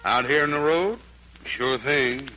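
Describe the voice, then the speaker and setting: A man's voice: mid-range voice, measured pace, pushed and bright, rough recording. A man playing a contrary, stubborn backwoods fiddler with a folksy drawl, in 1940s radio comedy style. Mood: dry, contrary. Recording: old 1940s radio broadcast with music.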